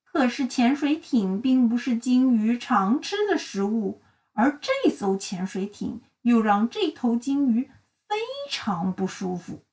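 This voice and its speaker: A woman narrating a children's storybook in an expressive, dramatic and deliberate storytelling style. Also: on the high side, far from the mic, low-quality recording. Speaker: read-aloud storyteller for children.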